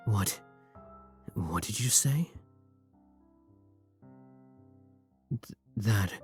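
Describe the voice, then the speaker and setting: Male actor as brooding vampire king, very slow delivery, husky, intimate, courtly and devoted, ASMR roleplay, faint music behind. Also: lowish voice. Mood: moved, gentle. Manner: courtly, soft-spoken, formal endearments, hesitant pauses. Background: soft music.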